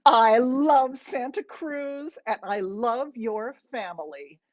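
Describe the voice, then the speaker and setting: A woman's voice: on the high side, slow pace, highs cut off, voice pushed up. A woman narrator voicing a breezy, self-absorbed eighty-year-old mother with a theatrical, insistent manner in an audiobook story. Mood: breezy, pleased.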